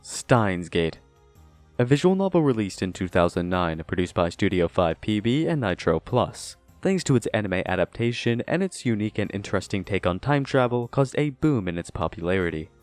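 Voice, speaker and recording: A man narrates a scripted review in a fairly low voice. His delivery is measured and deliberate, like an analytical essay read aloud. Music plays faintly behind him. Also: very animated, a little mellow, no room sound. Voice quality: low-pitched voice. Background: background music.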